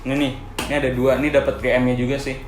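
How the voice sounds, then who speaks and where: A young man with a Jakarta Indonesian accent chatting casually and excitably as he hosts an unboxing vlog. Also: medium pitch, very fast, noisy background. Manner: excitable, colloquial. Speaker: vlog host. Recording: echoey room with background music.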